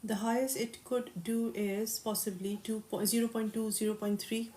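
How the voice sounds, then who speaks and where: A woman hosting a casual live stream who reads viewers' questions aloud and answers them briskly and confidently, mixing English and Urdu. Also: on the high side, little pitch movement, warm tone. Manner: confident, matter-of-fact market opinions. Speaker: crypto livestream host.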